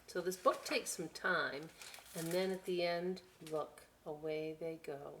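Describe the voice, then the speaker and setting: A woman hosting a storytime for young children, reading expressively with drawn-out words and chatty, encouraging asides. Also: fairly low pitch, fairly even intonation, fairly bright tone, a little hiss or hum. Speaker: children's library storytime host.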